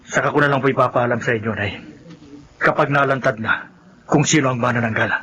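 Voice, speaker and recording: An actor playing an earnest, respectful son who explains things deliberately in a horror radio drama. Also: male voice, mid-range voice, steady tone, sharp tone, lightly acted, low-quality recording. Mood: grave, earnest.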